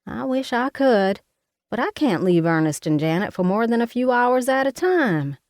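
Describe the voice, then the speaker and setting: A woman's voice: fairly high pitch, very animated, moderate pace, darkish tone, dry recording, studio quality, voice pushed up. A woman voicing a fussy, outspoken older mother, talking in a folksy, scolding way. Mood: fussing.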